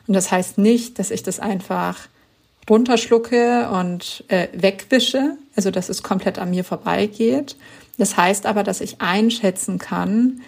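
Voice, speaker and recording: A woman's voice: mid-range voice, unhurried, creaky, husky. A woman author being interviewed on a podcast, explaining her views in an earnest, emphatic way. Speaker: author guest.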